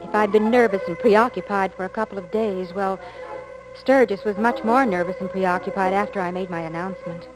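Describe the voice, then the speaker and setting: A woman's voice: mid-range voice, fairly even intonation, fairly quick, over loud music, lightly acted, rough recording. An actress playing a wistful, defensive young single woman in a 1950s style radio soap opera.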